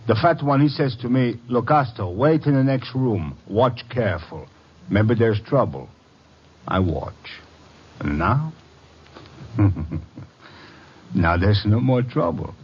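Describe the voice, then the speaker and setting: An actor playing a proud, simple foreign man in a radio drama, speaking emphatic, halting broken English. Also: mid-range voice, expressive, slightly rough. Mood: satisfied. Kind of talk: 1940s-50s radio drama acting. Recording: dull old radio recording.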